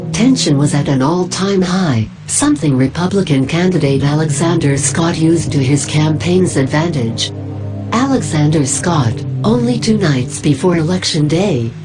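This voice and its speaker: Low-voiced actress as solemn female cult narrator. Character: devoted female cult narrator of a 1990s initiation tape. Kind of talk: scripted documentary narration. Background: loud music.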